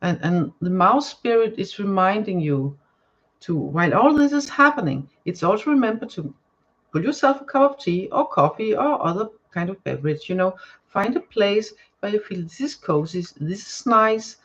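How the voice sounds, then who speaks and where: A woman with a Danish accent, whose first language is Danish, giving a live tarot reading to viewers and often pausing to search for words. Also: mid-range voice, lively intonation, dull audio. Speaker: tarot card reader. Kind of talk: live-stream card reading, chatting to viewers.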